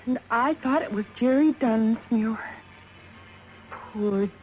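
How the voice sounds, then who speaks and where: High-voiced anxious radio mystery heroine. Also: female voice, slow, a little mellow, low-quality recording. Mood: shaken, earnest. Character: earnest, anxious woman caught up in a murder case. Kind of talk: 1950s radio drama acting. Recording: vintage radio, clear background noise.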